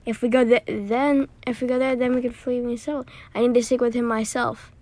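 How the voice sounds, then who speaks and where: A woman doing amateur voice acting for an animated story, with dramatic, drawn-out line readings. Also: fairly high pitch.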